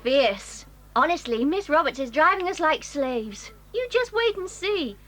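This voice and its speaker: High, lively English schoolgirl. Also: exaggerated voice. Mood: emphatic. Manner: brisk, gossipy, exclamatory. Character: friendly, chatty English boarding-school girl, eager to gossip. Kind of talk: children's audio drama acting.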